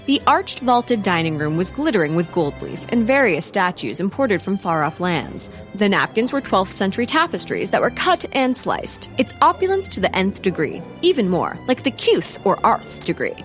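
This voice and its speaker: A woman's voice: medium pitch, sing-song, normal speed, hardly any pauses, faint music behind, close to an everyday voice. A woman playing a pedantic, digressive historian who narrates a comic audio drama in a matter-of-fact manner. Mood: pedantic, wry.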